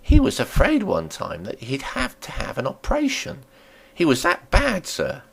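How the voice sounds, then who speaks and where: A gossipy country landlady from a storybook, acted out by a man, pitched up from his natural voice. The talk swings widely up and down, folksy, fussing and eager to please. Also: huge pitch swings, normal speed, creaky, a bit bright, breathy edge, full character voice. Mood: chatty. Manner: folksy rustic dialect, rambling and fussing. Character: chatty, nosy country landlady, deferential and gossipy.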